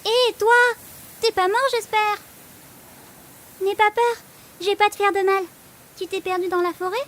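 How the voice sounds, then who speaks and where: An extremely high child's voice, bold and chirpy. The kid is cheeky and bossy yet kind, played as audio drama dialogue. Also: female voice, steady tone, normal speed, fairly bright tone. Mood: reassuring. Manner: chirpy, cheeky, take-charge.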